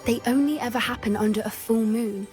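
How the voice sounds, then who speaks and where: A woman voicing a kind, earnest teenage witch in a video game, with a British accent and a gentle, confiding manner. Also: a little high, brisk, soft background music, close to an everyday voice. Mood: excited, wondering. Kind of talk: video game cutscene acting.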